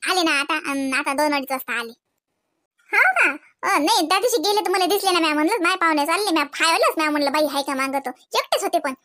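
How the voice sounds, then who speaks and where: A woman's voice: very high-pitched, expressive, fast talker, sharp tone, dry recording, clean studio sound, full character voice. A woman playing a gossipy, complaining cartoon village housewife who chatters colloquially in a Varhadi Marathi accent. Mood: resigned, chatty. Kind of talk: comic cartoon village dialogue.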